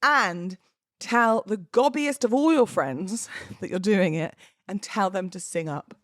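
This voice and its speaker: A British woman chats informally as a solo podcast host. Her voice sits at an average pitch, and she is expressive and cheeky in her delivery. The sound is close and very dry, with hardly any room on it. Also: slow, no room sound. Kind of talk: casual solo podcast chat.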